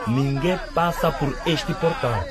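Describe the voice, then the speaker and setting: An actor playing an officious, stern school guard who barks orders in a radio drama. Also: male voice, fairly high pitch, measured pace, over loud music, a loud crowd, lightly acted. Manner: barking orders.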